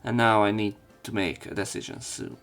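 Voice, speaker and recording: A man's voice: lowish voice, steady tone, moderate pace, fairly bright tone, faint music behind. A man narrating a visual novel as a let's player, reading its story and dialogue aloud.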